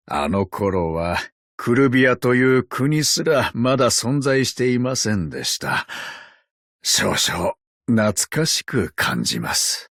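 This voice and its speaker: A man voicing a courteous, ancient tin man agent in a video game, calm and sardonic, with a polite, measured, quietly teasing delivery. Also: mid-range voice, no echo, clean studio sound. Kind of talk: Japanese video game character voice lines.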